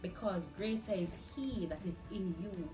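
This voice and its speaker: A woman's voice: medium pitch, moderate pace. A woman with a Jamaican accent gives casual testimony and scripture, emphatic and repetitive, with some Patois. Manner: emphatic, repeats phrases, mixes Patois with English. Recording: echoey room, distant mic, music underneath.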